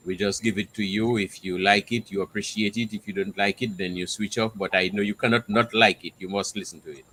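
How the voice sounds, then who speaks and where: A man with a lowish voice talks earnestly as a guest in an interview. He tends to repeat himself in lists, like someone used to hosting a programme. There is faint noise behind him. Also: fairly even intonation, fairly quick, sharp tone, poor audio. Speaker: radio programme host appearing as a guest. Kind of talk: interview talk about own show.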